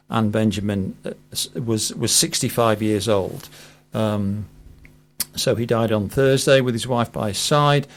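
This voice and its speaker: A man hosting a live radio interview, speaking in a hesitant, halting way. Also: mid-range voice. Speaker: radio host.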